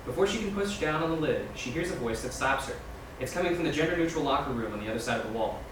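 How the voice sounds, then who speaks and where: A man's voice: a little high, expressive, fast. A man reading scene directions aloud as scripted narration at a screenplay table read. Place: large echoey room, far from mic. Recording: echoey, noisy room from far off.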